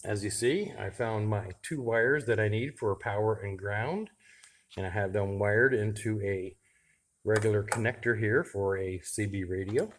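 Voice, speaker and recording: A man's voice: fairly low pitch, a little slow. A man talking casually to camera as a radio hobbyist vlogger, chatting loosely while he tinkers. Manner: relaxed and chatty, thinking aloud while tinkering. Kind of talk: casual how-to vlog.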